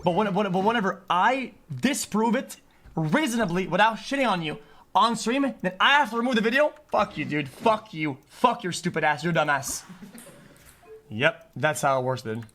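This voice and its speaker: A man's voice: high voice, animated, moderate pace, fairly bright tone. A man livestreaming an unscripted, agitated rant to his chat, swearing often and stumbling over repeated words.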